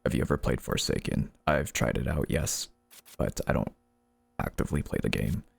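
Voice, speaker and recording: Guy streaming w a deep voice filter on, super gravelly, just chatting and answering chat. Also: normal speed, dry recording. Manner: reads chat messages aloud and replies. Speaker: live streamer. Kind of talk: casual chat with viewers.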